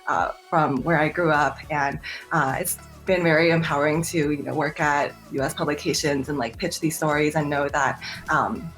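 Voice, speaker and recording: A young woman from Taiwan, an entertainment journalist, speaks in a very low voice. She talks in a measured way, pausing often on "um" and "uh". Her voice comes through a video call with faint music underneath. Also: steady tone, fairly bright tone. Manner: measured, many "um" and "uh" pauses. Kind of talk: panel interview answer.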